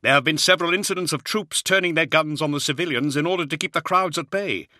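A man voicing a wiry male army colonel who reports in a loud, strained voice. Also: on the high side, fast talker, no echo, studio quality, pitched above the performer's own voice. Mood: urgent. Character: urgent male soldier colonel, wiry, shouting.

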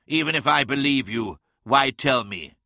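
A man's voice: medium pitch, expressive, moderate pace, bright, forceful tone, dry recording, clean studio sound, heavily acted. A man playing a proud, defiant warrior lord in an audiobook, imperious and grand in his delivery. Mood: grim. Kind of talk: dramatic audiobook character acting.

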